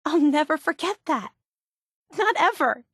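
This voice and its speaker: High-voiced, bubbly superfan. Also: female voice, lively intonation, a little slow, a bit bright, dry recording, clean studio sound, exaggerated voice. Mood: wistful, moved. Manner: gushing, earnest, wide-eyed enthusiasm. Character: bubbly, obsessive young woman superfan of a soda theme park. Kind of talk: video game character voice acting.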